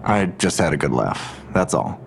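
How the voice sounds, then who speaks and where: An actor playing a sardonic, probing investigator with a dry, amused manner in an audio drama. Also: male voice, deep voice, big pitch swings, normal speed, creaky, a little hiss or hum, exaggerated voice. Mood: dry curiosity. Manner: dry, sarcastic.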